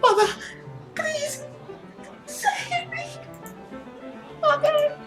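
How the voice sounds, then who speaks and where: A frightened young woman, acted by a woman reading a visual novel, pleads in a thin, very high voice. The delivery is plaintive and small, over faint music. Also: extremely slow, exaggerated voice. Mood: desperate.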